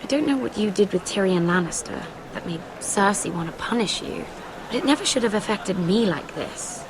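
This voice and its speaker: Earnest young handmaiden, noisy background actress. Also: female voice, mid-range voice, expressive, moderate pace, lightly acted. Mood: aggrieved. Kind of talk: dramatic game cutscene acting.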